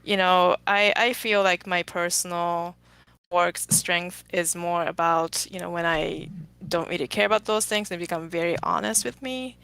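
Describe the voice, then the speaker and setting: A woman from Japan, a guest artist, talking thoughtfully in a casual podcast chat, with frequent fillers and pauses. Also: fairly low pitch, creaky, a bit bright, clear, resonant tone, no echo, poor audio. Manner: thoughtful, halting, many fillers.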